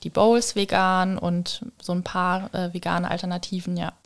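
A woman's voice: fairly low pitch, little pitch movement, moderate pace, gravelly. A woman chatting informally as a guest on a podcast. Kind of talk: casual chat.

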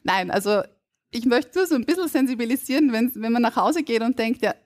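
A woman from Burgenland with an Austrian German accent, a linguist on a live podcast stage, explaining in a measured, academic way. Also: a little high, expressive, a little mellow. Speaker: guest linguist. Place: stage before a live audience.

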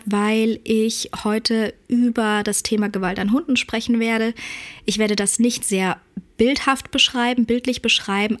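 Hoarse woman with a cold, podcasting. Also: medium pitch. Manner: earnest, with frequent fillers and self-corrections. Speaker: podcast host and dog trainer. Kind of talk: casual podcast monologue. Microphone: podcast microphone.

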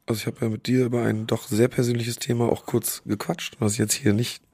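A man who is sick and hoarse, co-hosting a podcast in casual chat, often halting to search for words. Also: mid-range voice, flat delivery, a little mellow, dry recording. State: hoarse from a cold. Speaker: podcast co-host.

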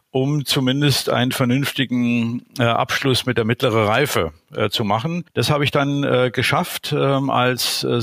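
An older man from Saarland talks as a podcast guest, his voice gravelly and low in the throat. He recalls things slowly and thoughtfully, and often hesitates. The recording is dry and close, with no room sound. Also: fairly bright tone, dry recording. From Saarland, Germany. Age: 60s. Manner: reflective, frequent hesitations. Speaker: guest, dental professor. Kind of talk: podcast interview.

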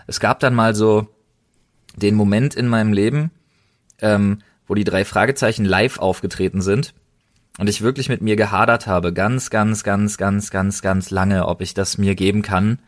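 A man's voice: fairly low pitch, fairly even intonation, moderate pace. A young man co-hosting a casual podcast, speaking in slang and repeating words for emphasis. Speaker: podcast co-host.

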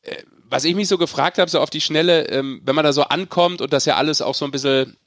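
A man's voice: a little high, fast talker, fairly bright tone, no room sound, studio quality. A man hosting a sports podcast in an informal, bantering, chatty way. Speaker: podcast host. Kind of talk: casual sports podcast chat.

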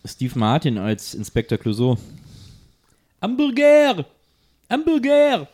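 A man's voice: sits high, wildly swooping pitch, slow pace, darkish tone. A man co-hosting a casual podcast chat, throwing in teasing, jokey remarks. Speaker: podcast co-host.

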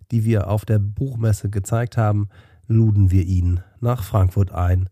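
A male podcast host with a cold, speaking low and mellow in a dry, close recording. His intro talk is brisk and informal. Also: no echo. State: has a cold. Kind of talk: solo podcast narration.

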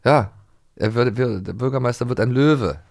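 A man's voice: medium pitch, moderate pace, vocal fry, a little mellow, no echo, studio quality. A man co-hosting a podcast in casual chat, playful and often interjecting. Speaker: podcast co-host. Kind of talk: casual chat about children's audio plays.

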